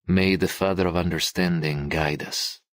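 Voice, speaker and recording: A man voicing a sinister, falsely gentle priest in a game, pious and menacing. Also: low voice, fairly even intonation, normal speed, no echo, clean studio sound, close to an everyday voice. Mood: smug menace. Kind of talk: game voice acting.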